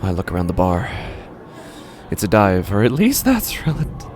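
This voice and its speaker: A man narrating a first-person horror story in a halting, uneasy way, often breaking off mid-sentence, over a music score. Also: deep voice, wildly swooping pitch, darkish tone. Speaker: podcast narrator.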